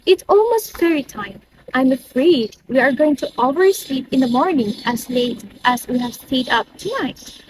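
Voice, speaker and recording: Casting: young woman as play character addressing everyone, high voice, amateur reading over clear outdoor noise. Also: lively intonation, moderate pace. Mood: festive, announcing. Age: young adult. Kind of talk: amateur dramatic reading of a modernised Shakespeare play.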